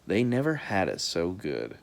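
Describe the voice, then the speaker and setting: A woman reading a children's book aloud in an animated, dramatic storytelling style. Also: a bass voice, unhurried, gravelly. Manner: dramatic, expressive delivery.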